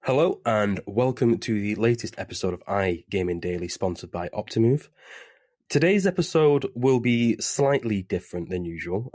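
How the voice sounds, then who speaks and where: A man's voice: low voice, a little mellow, no echo, clean studio sound. A man hosting a casual podcast interview over a webcam call, chatty and loose with plenty of fillers. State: recovering from covid. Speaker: podcast host. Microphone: remote webcam call.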